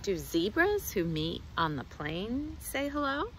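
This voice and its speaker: A middle-aged woman reads a picture book aloud to children outdoors, with expressive, deliberate phrasing. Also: mid-range voice, a little hiss or hum, poor audio. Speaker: children's librarian, storytime reader. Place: outdoors in a leafy garden.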